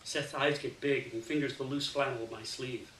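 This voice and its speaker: A man reading a first-person short story aloud in an expressive, dramatic way. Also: medium pitch, fairly quick. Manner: dramatic, animated delivery with acted dialogue. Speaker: reader of a short story to an audience. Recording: roomy, distant mic, faint noise.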